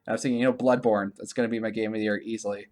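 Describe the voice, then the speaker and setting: Male gaming podcast host, slightly low-pitched, loose conversational delivery with frequent stammers and repeats. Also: level tone, very fast, darkish tone. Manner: rambling, stammering, repeats himself. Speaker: video game podcast host. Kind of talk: casual chat about gaming news.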